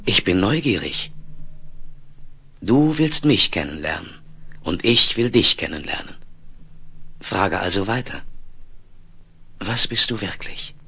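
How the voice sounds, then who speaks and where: An actor playing a curious, steadfast male space explorer in a 1970s radio play, earnest, deliberate and emphatic. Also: low-pitched, lively intonation, sharp tone, breathy edge, heavily acted.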